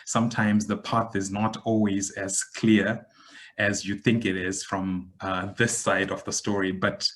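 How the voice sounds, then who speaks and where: A man in his thirties from Zimbabwe, a podcast guest giving a measured, reflective account with frequent pauses. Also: fairly low pitch, little pitch movement, a bit bright. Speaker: guest, digital transformation expert. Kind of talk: podcast interview answer.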